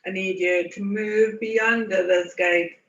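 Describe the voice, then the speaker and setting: A woman leading a guided meditation in a soothing manner, with unhurried pauses and repeated phrases. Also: mid-range voice, steady tone, fairly bright tone. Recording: muffled, echoey, distant from mic.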